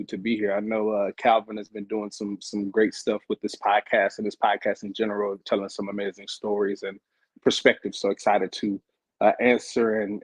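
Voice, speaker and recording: A man's voice: lowish voice, no echo. A thirty-year-old man from Dallas, Texas, introducing himself as a guest in a casual, upbeat way. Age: 30s. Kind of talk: casual self-introduction on a video call.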